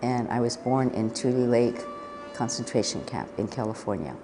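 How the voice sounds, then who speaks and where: A woman in her seventies speaking calmly and reflectively in a documentary interview. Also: rumbling low, normal speed. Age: 70s. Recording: reverberant, with music underneath.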